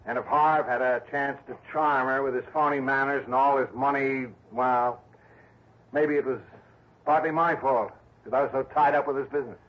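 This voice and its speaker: A man's voice: mid-range voice, steady tone, normal speed, lightly acted. An actor playing a bitter, defensive businessman in a blustery 1960s radio drama style. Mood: rueful. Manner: blustery, indignant. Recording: dull old radio recording.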